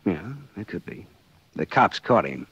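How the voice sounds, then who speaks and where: An actor playing a sardonic, glib American hard-boiled private detective in 1940s radio style. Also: male voice, deep voice, very animated, measured pace, a bit bright, breathy edge, heavily acted. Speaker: lead actor and narrator. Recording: muffled, lo-fi 1940s radio recording.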